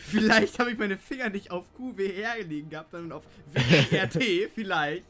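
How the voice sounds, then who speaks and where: A man's voice: sits high, moderate pace, crowd noise. A young man in casual, slangy, sweary banter with a friend during a video game. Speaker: gamer, co-player. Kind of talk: casual gaming chat with a friend.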